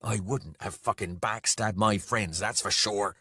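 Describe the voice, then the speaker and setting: A man's voice: on the low side, steady tone, unhurried, sharp tone, breathy edge, no room sound, clean studio sound, full character voice. A man voicing a huge, foul-mouthed, hot-tempered axeman in a fantasy audiobook, growling and snapping curtly. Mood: grudging anger. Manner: growling, snapping, curt, swearing.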